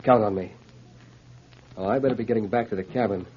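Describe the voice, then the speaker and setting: A man's voice: lowish voice, a little fast, a little hiss or hum, lightly acted. Man acting earnest frontiersman, muffled old radio. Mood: friendly.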